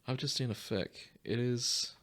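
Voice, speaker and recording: A man's voice: mid-range voice, normal speed, a bit bright. A man co-hosting a podcast, chatting casually with friends in a teasing, jokey way. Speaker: podcast co-host. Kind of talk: casual chat among friends.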